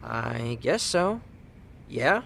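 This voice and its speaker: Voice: medium pitch, sing-song, very slow, gravelly, fairly bright tone, slight background noise, exaggerated voice. An actor playing a cheeky but earnest teenage boy in a video game dub, talking in offhand, youthful slang. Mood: subdued, matter-of-fact.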